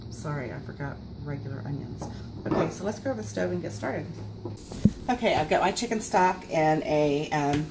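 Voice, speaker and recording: A woman's voice: sits low, noisy background. A woman in her forties hosting a casual home cooking show, chatty and full of fillers, recorded on a camera mic in her kitchen. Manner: chatty, filler-heavy, self-deprecating.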